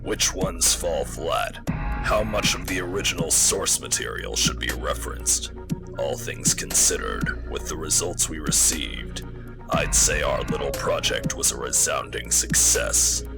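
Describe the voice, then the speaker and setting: A man playing a cold, smug artificial intelligence posing as a military colonel in a game parody, talking in a measured, condescending, gravelly way. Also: a bass voice, over loud music, heavily acted. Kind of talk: scripted game parody dub.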